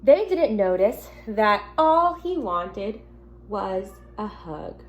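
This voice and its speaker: A woman teacher speaking gently and simply to young children, reading a picture book aloud at home with casual asides. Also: mid-range voice, big pitch swings, slow pace. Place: at home in an apartment. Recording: noticeable background noise, home recording.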